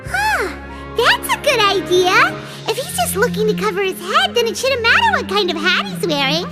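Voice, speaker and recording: A woman's voice: very high voice, very animated, normal speed. A woman voicing a small, chirpy female flying companion who talks about herself in the third person. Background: game music.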